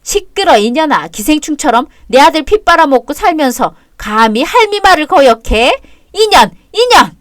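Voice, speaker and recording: Woman playing a shrill cruel old mother-in-law. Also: takes it slowly, hardly any pauses. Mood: vicious. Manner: screeching, insulting, whining when cornered. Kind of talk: Korean audio drama acting.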